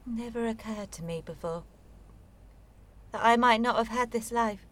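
A woman's voice: a little high, a little slow, a bit bright. An actress in a radio play voicing a wounded, sceptical young woman with a blunt, clipped way of answering. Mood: subdued, stunned. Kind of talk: naturalistic radio play acting.

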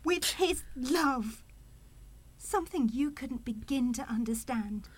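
A woman's voice: high-pitched, expressive, slow, husky, dry recording, exaggerated voice. A woman playing a defiant, passionate young mother in a radio play. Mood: bitter.

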